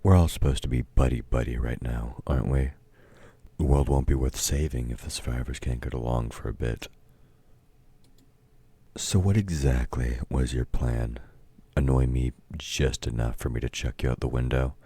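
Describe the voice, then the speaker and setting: Man acting very deep, husky, domineering villain. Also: moderate pace, warm tone, no room sound, heavily acted. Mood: irritated, threatening. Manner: gruff, mocking, commanding, addressing a meek listener. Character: domineering, taunting male villain, grudging temporary ally of heroes. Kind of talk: intimate audio roleplay, scripted dramatic acting.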